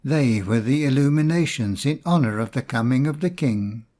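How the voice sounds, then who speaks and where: A man reading a storybook fairy tale in a measured, expressive storytelling manner. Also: mid-range voice. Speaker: storybook narrator.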